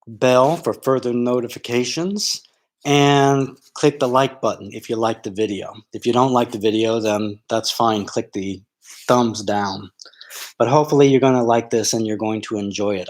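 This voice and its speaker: A middle-aged man from Florida, a sociology professor, speaking live to a small online audience. He talks candidly and loosely, with frequent ums and restarts, at an ordinary pitch. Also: darkish tone. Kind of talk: live-streamed personal talk.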